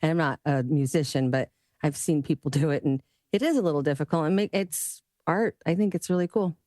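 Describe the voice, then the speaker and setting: A woman with a very low voice joins a studio podcast chat. She is easygoing and shares her opinion with light laughs. The sound is close and dry. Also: brisk, warm tone, no echo.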